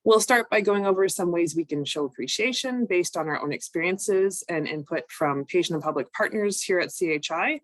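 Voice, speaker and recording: A woman, recovering from a cold, presenting an online training session in a measured, explanatory way with frequent ums. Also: on the low side, no echo, poor audio. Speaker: workshop presenter.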